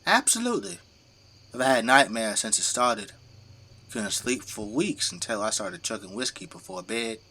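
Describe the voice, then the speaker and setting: A man's voice: on the low side, animated, moderate pace, bright, forceful tone. An actor playing a middle-aged, devoted father and plain-spoken government zombie fighter in an audio drama. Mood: somber, confessional. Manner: commanding, plain-spoken, folksy turns of phrase. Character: gruff, devoted father working as a zombie-burning government janitor.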